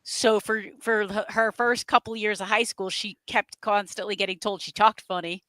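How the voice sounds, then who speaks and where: A woman with a New England accent, co-hosting a casual live-stream chat, talking in quick restarts and asides. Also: medium pitch, steady tone, pushed and bright, no room sound. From New England, near the New Hampshire border. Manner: chatty, stumbles and restarts often. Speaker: co-host.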